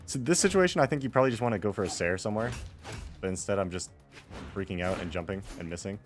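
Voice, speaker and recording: Low-voiced man rambling over gameplay. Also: fast, over music. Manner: rambling, self-deprecating, wry. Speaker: player commentating own replay. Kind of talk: casual off-the-cuff gameplay commentary.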